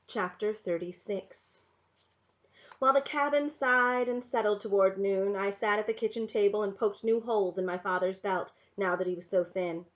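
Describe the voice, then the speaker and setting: A woman reads a novel aloud to her class, calm and unhurried, her voice at a medium pitch. The recording is a little dull and muffled, lo-fi rather than crisp. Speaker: teacher reading to a class.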